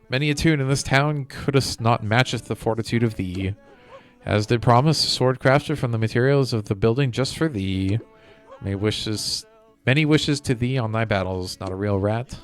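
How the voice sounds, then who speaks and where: A man's voice: mid-range voice, normal speed, faint music behind, close to an everyday voice. A man voicing a seasoned fantasy adventurer in a game with pompous, theatrical mock-medieval speech. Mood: grandly grateful.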